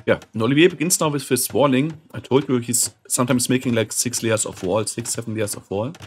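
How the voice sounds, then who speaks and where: A hoarse man hosting a gaming channel, casually commentating a match while thinking aloud and clearing his throat now and then. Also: medium pitch, fairly quick, soft background music. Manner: keeps saying "interesting" with mild curiosity. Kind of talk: casual live game commentary.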